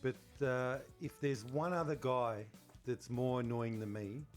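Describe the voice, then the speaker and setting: A man's voice: mid-range voice, a little slow. Man chatting, music in the background. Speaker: podcast co-host. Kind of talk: casual chat, interviewing.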